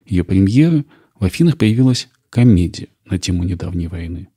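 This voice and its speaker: A man hosting a podcast with measured, explanatory scripted documentary narration. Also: deep voice, animated, warm tone, slightly rough, no echo, studio quality. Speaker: podcast host.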